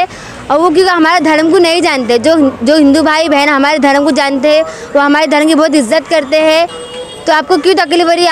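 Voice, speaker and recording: A woman giving an unscripted, impassioned political statement, admonishing and repeating her appeals insistently. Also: high voice.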